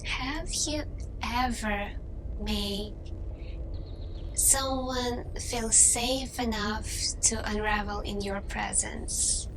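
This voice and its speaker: A woman voicing a gentle humanoid alien interviewer in an intimate ASMR roleplay, hesitant and pausing, drifting into whispers. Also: fairly high pitch, steady tone. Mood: probing, tender. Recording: clearly noisy ambient background.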